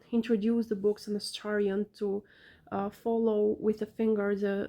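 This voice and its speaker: Gravelly-voiced woman with an Albanian accent. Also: medium pitch, dark tone. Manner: earnest, measured, non-native phrasing. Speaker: parent vlogger. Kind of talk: casual advice vlog.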